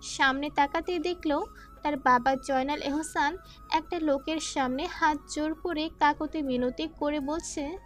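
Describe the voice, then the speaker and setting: A woman's voice: high voice, steady tone, unhurried. A woman narrating a scripted, dramatic audio story as its storyteller. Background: background music.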